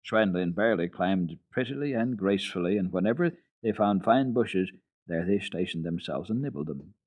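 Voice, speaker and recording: Low-voiced man reading a storybook on old radio. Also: normal speed. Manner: expressive, lilting storytelling. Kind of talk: dramatic reading of a children's book. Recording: tinny old radio recording.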